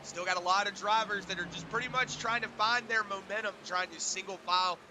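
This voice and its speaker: A man doing relaxed, rambling play-by-play for an online truck race, his voice hoarse from a sinus infection and full of filler words. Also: high-pitched, a little fast, background noise. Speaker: race commentator. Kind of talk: play-by-play sim racing commentary.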